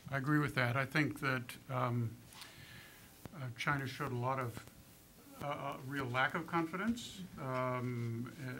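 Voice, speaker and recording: A man speaking as a panelist, measured and hesitant, with many ums and uhs. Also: medium pitch, background noise. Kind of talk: panel discussion.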